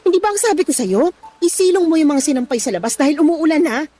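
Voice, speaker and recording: A woman's voice: high voice, animated, fast, mellow. A woman playing an exasperated middle-aged Filipino mother in a radio drama, scolding and grumbling about household chores. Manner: scolding, sighing, muttering to herself. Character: exasperated middle-aged mother nagging her son's lazy girlfriend.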